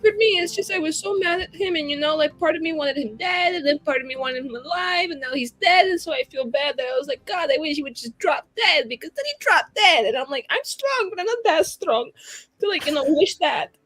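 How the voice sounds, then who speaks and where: A woman playing in character at a tabletop roleplaying session, talking in an emotive, halting, casual way with some swearing, over background music. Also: high-pitched, animated, fairly bright tone, no echo, poor audio. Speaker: tabletop RPG player. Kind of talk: in-character improvised dialogue at actual-play game. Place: online streamed game session.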